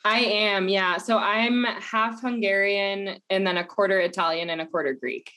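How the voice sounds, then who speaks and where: A woman from the United States co-hosts a casual interview. She is bubbly, reaches for slang, and speaks at a middling pitch. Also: moderate pace, sharp tone. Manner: bubbly, slangy, laughs often. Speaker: co-host.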